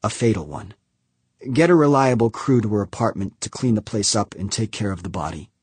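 Man doing a terse grim executive, low voice, husky, dry sound. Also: animated, brisk, darkish tone, no echo. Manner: terse, clipped orders. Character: shaken, commanding male executive.

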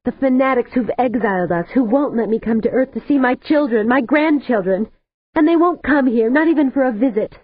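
A woman's voice: sits high, expressive, brisk, no echo, voice pushed up. A woman voicing an older female scientist and grandmother, tearful and bitter. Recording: tinny phone-like sound.

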